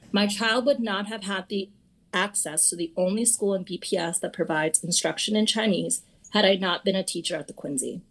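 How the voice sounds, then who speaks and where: A woman in her thirties, a teacher and parent, reading prepared public testimony in a steady, earnest, formal way at a school committee meeting. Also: fairly low pitch, low-quality recording. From Boston area.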